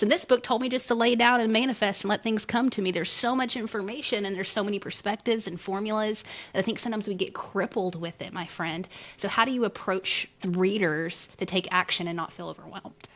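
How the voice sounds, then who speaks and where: A woman's voice: mid-range voice, fairly quick, hardly any pauses. A woman hosting a casual interview podcast, talking in a folksy, chummy way. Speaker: podcast host interviewing a guest.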